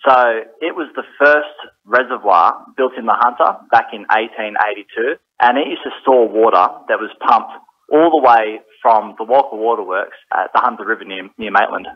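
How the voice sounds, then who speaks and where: Aussie guy spokesperson explaining stuff, lowish voice, dull phone-ish recording. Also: normal speed, slightly rough. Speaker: organisation spokesperson. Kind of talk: explanatory interview clip. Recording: muffled telephone-like interview clip.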